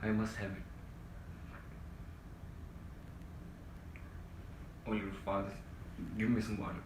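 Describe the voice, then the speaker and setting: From across an echoey room comes a desperate, worn-out civil servant played by a male actor in a theatrical drama. His low voice is full of self-pity and melodrama, pleading and ranting over a clearly noisy recording. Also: flat delivery, fast, natural voice. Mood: weary pleading. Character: desperate, exhausted, self-pitying family man and civil servant. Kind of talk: theatrical stage-play dialogue. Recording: echoey room, distant mic, loud noise.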